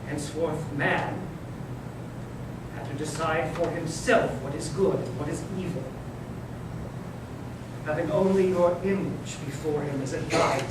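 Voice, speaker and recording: A man playing a stern, bitter old Grand Inquisitor in readers theater, declaiming from hushed menace up to forceful pronouncement. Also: fairly high pitch, fairly even intonation, a little slow, long pauses, lightly acted. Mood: solemn, accusing. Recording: echoey noisy hall, distant microphone.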